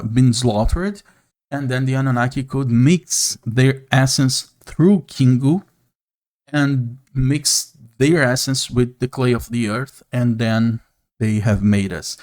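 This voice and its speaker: A man from Brazil with a Brazilian Portuguese accent, a non-native English speaker, hosting an unscripted monologue straight to camera, earnest and slow with frequent pauses. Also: medium pitch, a little mellow. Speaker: YouTube channel host. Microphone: camera mic, speaking to camera.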